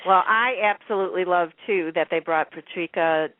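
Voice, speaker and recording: A woman's voice: on the low side, moderate pace, dry recording. A woman hosting a radio chat in an informal, gushing way, often repeating her words. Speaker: radio show host. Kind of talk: casual chat about soap operas. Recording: narrow phone-like radio line.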